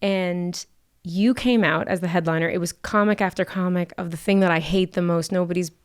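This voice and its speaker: A woman in her thirties from the United States, a comedian guest on a podcast who tells stories quickly with dry, wry humor. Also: lowish voice, fairly even intonation, clean tone. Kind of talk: casual conversation.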